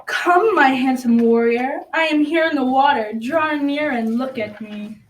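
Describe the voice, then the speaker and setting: A female water spirit from a folktale radio play, acted by a young student. She is high-voiced, alluring and lonely as she beckons. The recording is echoey and distant, with faint noise behind. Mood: luring. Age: child or teen. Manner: beckoning, drawn-out. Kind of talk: amateur school radio drama.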